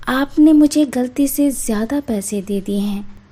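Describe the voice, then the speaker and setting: Woman voicing a humble, slow-spoken village painter. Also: fairly high pitch, mellow, voice pushed up. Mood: respectful. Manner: deferential, polite. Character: humble honest poor village painter man.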